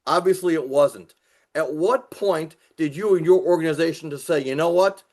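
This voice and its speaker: A man's voice: a little high, normal speed, a little mellow. A man hosting an interview, asking questions in a conversational way. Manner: reads quotes aloud, conversational. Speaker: interview host.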